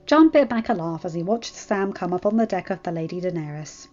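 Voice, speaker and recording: A woman's voice: on the low side, animated, a little fast, darkish tone. Woman narrating a story, muffled recording. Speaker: amateur fan fiction narrator. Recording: muffled lo-fi recording, music behind. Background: music.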